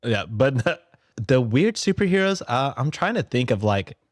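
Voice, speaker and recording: A man's voice: mid-range voice, big pitch swings, normal speed, no room sound, studio quality. A man co-hosting a podcast with casual banter in a wry, teasing, sweary manner. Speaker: podcast co-host.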